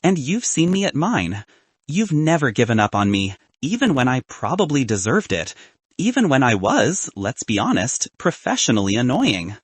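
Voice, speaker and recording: Man voicing a cynical best man turned nervous romantic in an audiobook; husky, animated, self-deprecating and sincere. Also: mid-range voice, moderate pace, dry recording. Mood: heartfelt toast. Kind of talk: audiobook character dialogue.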